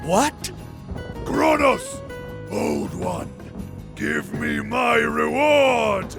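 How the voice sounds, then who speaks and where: An actor playing a power-hungry warlord in a video game cutscene, gravelly, menacing and theatrical, drawing out his commands. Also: very high voice, wildly swooping pitch, exaggerated voice. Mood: desperate rage. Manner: theatrical, drawn-out, commanding delivery. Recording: dramatic music behind the voice.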